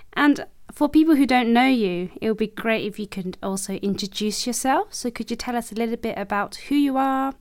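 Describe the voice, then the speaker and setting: A woman hosting a podcast, who speaks gently and encouragingly and laughs easily while she guides a reflection and an interview. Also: medium pitch, no echo, clean studio sound. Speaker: podcast host.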